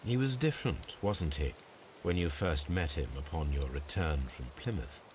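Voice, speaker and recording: Really really low detective in a game, polite, measured, probing, bit of background noise. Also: male voice, big pitch swings, a little mellow. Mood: gentle, knowing. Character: composed, probing, courteous gentleman detective. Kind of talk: scripted game dialogue.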